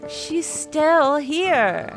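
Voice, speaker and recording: Brief: easygoing, slow-paced woman streamer, playful chat over music. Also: high voice, big pitch swings. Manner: chatty, playful, unhurried. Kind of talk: casual livestream chat.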